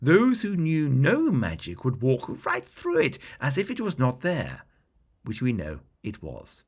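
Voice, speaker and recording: Casting: male bedtime-story narrator, mid-pitched and very expressive, dramatic and deliberate fantasy storytelling. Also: breathy edge.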